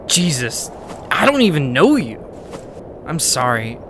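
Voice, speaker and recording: A man playing an awkward, lonely young man, hesitant and halting, in a comic dub. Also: on the high side, wildly swooping pitch, noisy background. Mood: sheepish. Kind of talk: amateur comic dub acting.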